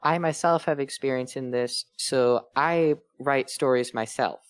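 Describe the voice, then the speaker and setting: A man's voice: medium pitch, unhurried, smooth, dry recording. A man chatting casually as a panelist, sharing his experience in an earnest, phrase-by-phrase way. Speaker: guest panelist.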